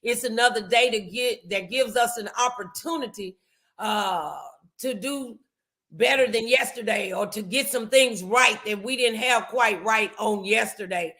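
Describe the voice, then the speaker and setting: A middle-aged American woman hosting a motivational talk show, speaking in an emphatic, deliberate way. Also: a little high, sharp tone.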